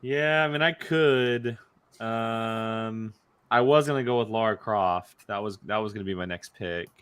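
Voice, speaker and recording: Bright-voiced young man hosting casual chat. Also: fairly low pitch, unhurried, clear, resonant tone. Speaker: host running a movie draft show.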